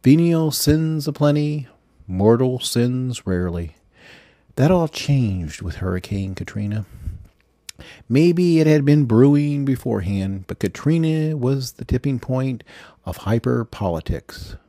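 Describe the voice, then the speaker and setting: A man reads fiction aloud as a scripted audiobook narrator, in a measured way with the odd stumble. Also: mid-range voice, animated, mellow, dry recording, clean studio sound.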